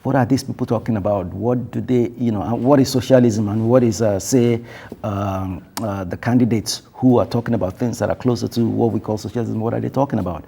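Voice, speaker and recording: Man, panel guest, halting delivery with fillers, dark husky voice. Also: lowish voice, fairly even intonation. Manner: halting, many fillers and restarts. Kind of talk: panel discussion.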